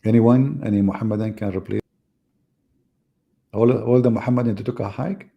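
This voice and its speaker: A man's voice: fairly low pitch, fairly even intonation, normal speed, vocal fry, lo-fi sound. A man hosting a live debate stream, talking in a mocking, ironic way with rambling asides. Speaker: livestream debate host. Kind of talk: sarcastic live-stream commentary.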